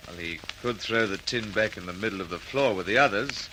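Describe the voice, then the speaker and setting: Bright, matter-of-fact detective. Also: male voice, fairly low pitch, a little fast, slight background noise, low-quality recording. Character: matter-of-fact male police detective, sidekick investigator. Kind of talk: classic radio detective drama acting.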